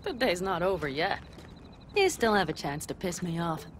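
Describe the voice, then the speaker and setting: A woman's voice: on the low side, sing-song, normal speed, a little hiss or hum. An actress playing a tough, guarded young nomad woman with a blunt, wry, earthy way of talking in a video game drama.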